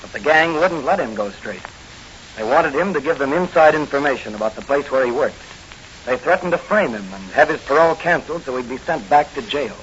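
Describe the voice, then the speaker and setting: A man's voice: mid-range voice, lively intonation, fairly quick, a bit bright, natural voice. An actor playing an earnest young rookie policeman with a secret crime-fighting life, in 1940s radio drama style. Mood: earnest, concerned. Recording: hissy, dull old radio recording.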